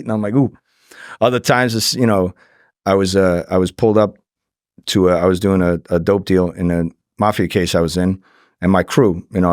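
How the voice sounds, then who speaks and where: Fast-talking low-voiced middle-aged man telling stories. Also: no room sound, studio quality. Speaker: podcast guest, former undercover agent. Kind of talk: casual anecdotal storytelling.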